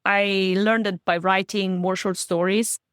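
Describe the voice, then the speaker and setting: Woman, native Slovenian speaker, interview guest, thoughtful, halting restarts, mid-pitched, dry close sound. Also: level tone, a bit bright, clean tone, no room sound. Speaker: guest author and book coach. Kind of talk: podcast interview conversation.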